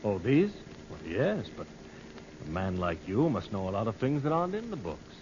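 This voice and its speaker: An actor playing a breezy, skeptical tourist, offhand and prying, in an old-time radio adventure serial. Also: male voice, lowish voice, very animated, moderate pace. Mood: amused doubt. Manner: offhand, teasing, probing. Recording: muffled old radio recording, noisy.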